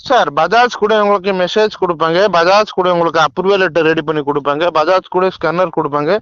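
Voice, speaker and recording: A man with a high voice speaks quickly as a loan agent on the phone. He keeps addressing the other person as sir. The recorded call sounds muffled and lo-fi. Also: fairly even intonation, fairly bright tone, no echo. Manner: repeatedly addresses listener as sir. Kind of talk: phone sales pitch turning argumentative.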